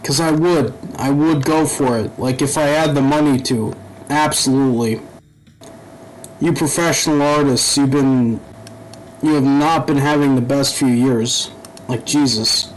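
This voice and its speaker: A young man talking through a video game in loose, off-the-cuff commentary. He rambles, stumbles over his words and drops the odd curse. His voice sits at an ordinary middle pitch over a faint hum in the background. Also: steady tone, low-quality recording. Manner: rambling, halting, profane asides. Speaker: gaming YouTuber. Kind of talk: casual let's-play commentary.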